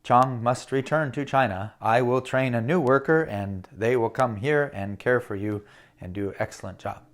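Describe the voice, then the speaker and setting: A man voicing a humble, devoted Chinese male butler who speaks slowly and softly in broken, formal phrases. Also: mid-range voice, voice pushed down, close to an everyday voice.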